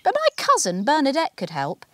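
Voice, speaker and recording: A woman voicing a helpful, eager garden centre woman in a children's storybook, speaking brightly and emphatically. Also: high voice, huge pitch swings, moderate pace, no echo, voice pushed up.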